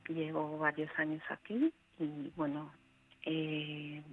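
A woman with a Peruvian accent calling a radio show, telling her personal story in a hesitant, halting way. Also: low-pitched, monotone, a little hiss or hum. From Peru. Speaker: radio caller. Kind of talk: personal account told on air. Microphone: telephone. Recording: band-limited phone call to radio.

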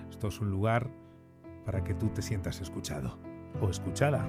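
A man hosting a late-night radio call-in, speaking intimately and reflectively straight to his listeners. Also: fairly low pitch. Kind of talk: intimate radio monologue and caller interview. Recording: music under the voice.

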